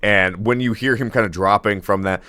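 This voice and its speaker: Laughing man with a deep baritone. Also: moderate pace, sharp tone, dry recording, studio quality. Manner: laughs often, rambling with fillers and restarts. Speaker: music reaction host. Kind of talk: casual song reaction commentary.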